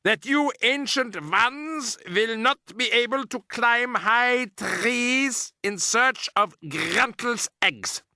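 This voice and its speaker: Man voicing a shrill, imperious Grand High Witch. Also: animated, pushed and bright, dry recording, clean studio sound, exaggerated voice. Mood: commanding. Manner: drawn-out, shrieking and commanding. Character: shrill imperious old female witch queen.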